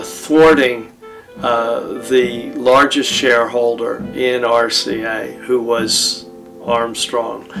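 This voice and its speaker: An older man telling history in an unscripted interview, halting with long pauses. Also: medium pitch, a bit bright, far from the mic, poor audio. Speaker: interviewed expert. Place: indoors, book-lined room.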